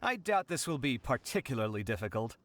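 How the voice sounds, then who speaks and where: A man voicing a self-assured, polite young machine specialist in a video game, calm and confident. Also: medium pitch, brisk, slight background noise, natural voice.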